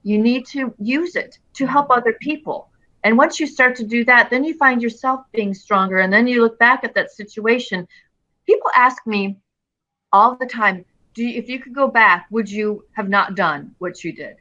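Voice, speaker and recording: This middle-aged woman talks with a bright, forceful voice. She speaks earnestly and expressively as an interview guest and often restarts her phrases. The audio is a little dull and lo-fi. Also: a little high, moderate pace. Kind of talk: casual interview conversation.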